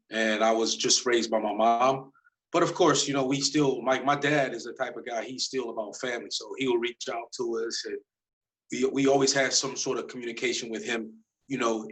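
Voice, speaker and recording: Interview guest, man in his forties, laid-back storyteller with slang, medium-pitched voice. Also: poor audio. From Detroit, United States. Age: 40s. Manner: relaxed, rambling, street slang. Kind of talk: casual storytelling.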